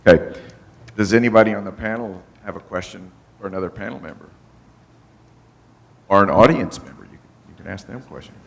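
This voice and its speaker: A man moderating a conference panel, joking and moving things along quickly. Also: fairly low pitch, creaky. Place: conference hall.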